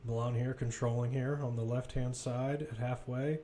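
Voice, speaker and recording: A man's voice: medium pitch, steady tone, moderate pace, mellow, a little hiss or hum. A man giving live football play-by-play commentary, describing the action as it unfolds in short, clipped phrases. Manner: running present-tense description in clipped phrases.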